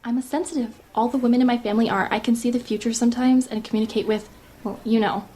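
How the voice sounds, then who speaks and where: An earnest teenage girl, acted by a woman in an audio drama. Her voice sits fairly high. She is insistent and pleading, as if she must be believed. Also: fairly even intonation, fast talker, mellow, natural voice. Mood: earnest, defensive. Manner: urgent, pleading. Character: earnest, insistent teenage girl who claims psychic gifts.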